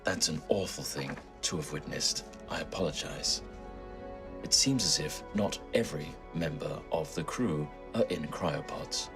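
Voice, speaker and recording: A man voicing a disembodied ship's computer, measured, polite and deadpan, in a tabletop game. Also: very deep voice, music in the background. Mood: flat, apologetic. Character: calm, apologetic ship computer, a disembodied artificial intelligence.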